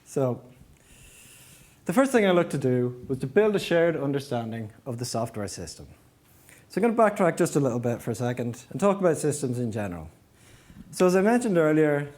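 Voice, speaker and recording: A man's voice: on the high side, mellow. A man giving a prepared presentation as an engineering manager, explaining step by step and posing rhetorical questions. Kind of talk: prepared conference-style presentation.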